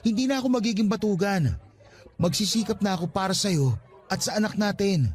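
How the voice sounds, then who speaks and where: A man's voice: high-pitched, a little fast, natural voice. An actor in a radio drama playing an earnest, defensive young father pleading with his ex for another chance.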